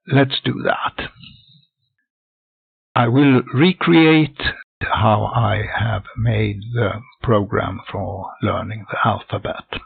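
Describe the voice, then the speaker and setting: An older man talks slowly and methodically through a software tutorial, his voice gravelly and husky. The recording is dry and tinny, like a phone line. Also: medium pitch, no echo. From Sweden. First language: Swedish. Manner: methodical, plain step-by-step explaining. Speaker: hobby game developer.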